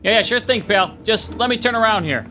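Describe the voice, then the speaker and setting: A brash, streetwise cab driver in radio drama style. His delivery is blunt and swings in pitch, with music and vehicle sounds behind him. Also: lively intonation, fairly quick. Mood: casual. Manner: blunt, streetwise, loud-mouthed.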